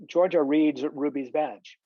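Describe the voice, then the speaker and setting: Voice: medium pitch, steady tone, unhurried, no echo. A narrator reading scripted stage directions at a table read over a video call. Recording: muffled, dull video-call audio.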